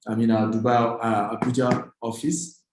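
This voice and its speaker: A man's voice: mid-range voice, little pitch movement, normal speed, a little mellow, a little hiss or hum. A man with a Nigerian accent giving an emphatic motivational business talk, exhorting his online audience and repeating key phrases. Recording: very echoey, reverberant room.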